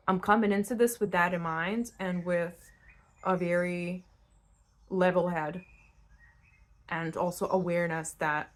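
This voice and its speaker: A woman from Lithuania, giving an unscripted tarot reading in a blunt, conversational way, speaking straight to the listener. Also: fairly low pitch. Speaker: tarot reader hosting her own channel. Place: indoors at home in a new-build house.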